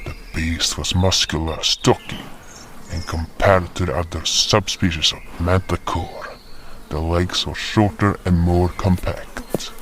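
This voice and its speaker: An actor narrating as a solemn fantasy hunter who recounts his journey in a measured, dramatic way. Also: sits low, fairly even intonation, a bit bright, a little hiss or hum. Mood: solemn, descriptive. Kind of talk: scripted first-person fantasy storytelling.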